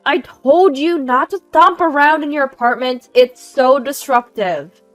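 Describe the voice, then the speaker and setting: A woman voicing an aggressive, nagging housewife neighbor in her forties, loud, demanding and scolding, in a narrated story. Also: high-pitched, measured pace, no echo, soft background music, voice pushed up. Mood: angry scolding.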